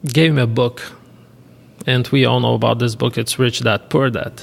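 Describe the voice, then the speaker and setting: A man with a Bulgarian accent chatting casually as an interview guest, speaking in halting, hesitant phrases. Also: mid-range voice, steady tone, vocal fry. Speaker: podcast guest, real estate investor. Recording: reverberant, hall-like room.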